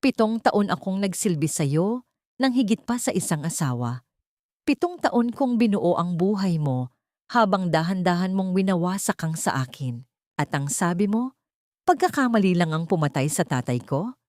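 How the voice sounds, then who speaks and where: A woman's voice: lowish voice, lively intonation, moderate pace, darkish tone, no room sound, clean studio sound, lightly acted. A woman storyteller playing a wronged former fiancée who speaks with cold, weary and accusing resolve in a romance drama.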